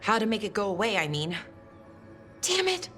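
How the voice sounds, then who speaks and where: A woman's voice: mid-range voice, sing-song, normal speed, a bit bright, faint music behind. A woman acting an earnest, anxious young scholar in a video game, hesitant and adding trailing afterthoughts. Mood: troubled, uncertain.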